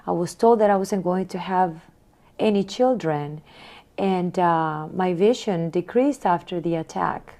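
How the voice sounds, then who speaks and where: Interview guest, woman in her forties from Honduras, lowish voice, reflective and soft-spoken. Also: a little slow. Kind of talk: interview answers.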